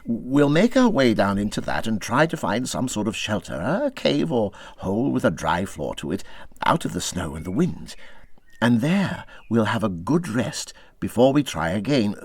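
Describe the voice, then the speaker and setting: Man voicing a kindly, lively-voiced water rat. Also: on the low side, dry recording, heavily acted. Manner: considered, reassuring, matter-of-fact. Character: kindly, practical male water rat, a resourceful friend. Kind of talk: storybook character voice in audiobook reading.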